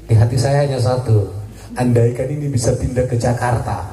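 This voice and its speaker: A man, a military officer, giving a joking, lively speech at a community gathering. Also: medium pitch, fairly quick. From Indonesia. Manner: jokey and lively, laughs at his own jokes. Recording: echoey, distant, crowd noise.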